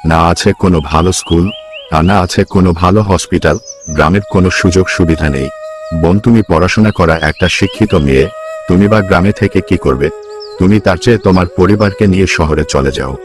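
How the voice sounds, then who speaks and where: Man voicing a very deep-voiced scheming village headman. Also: fast talker, over music, natural voice. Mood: coaxing. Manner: persuasive, wheedling, falsely friendly. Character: scheming middle-aged village headman, coaxing and sly. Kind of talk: Bengali cartoon story voice acting.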